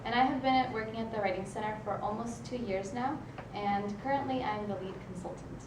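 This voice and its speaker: Brief: young student tutor, native Ukrainian speaker, hesitant, distant roomy sound. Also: female voice, a little high, fairly quick. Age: young adult. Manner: plain, hesitant with uhs. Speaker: student writing consultant. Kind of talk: conference panel introduction. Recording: echoey room, distant mic, background noise.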